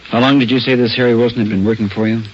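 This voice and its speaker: A man's voice: mid-range voice, steady tone, very fast, close to an everyday voice. A man playing a terse, probing police detective in a 1950s radio drama. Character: brisk, no-nonsense male police detective. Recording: muffled, faintly noisy old radio recording.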